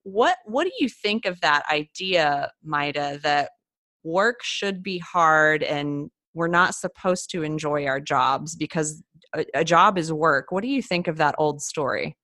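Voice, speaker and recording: A woman hosts a relaxed conversation in a bright, lowish voice. She reflects aloud and asks open questions. The recording is dry and close. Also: a little slow, no room sound. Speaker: host. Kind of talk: casual interview chat.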